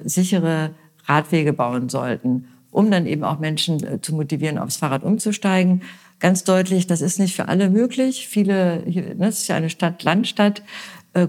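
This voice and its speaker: A woman from Westphalia gives her answers as a local election candidate. She speaks in an earnest, considered way, often stopping to fill pauses and restart her sentences. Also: lowish voice, darkish tone. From Westphalia, Germany. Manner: earnest, hesitant with many fillers. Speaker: mayoral candidate. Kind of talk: political interview answers.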